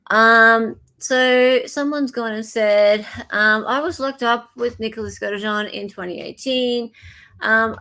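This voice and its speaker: A woman's voice: on the high side, fairly bright tone. An Australian woman hosting a casual live stream, chatting in an informal, scattered way.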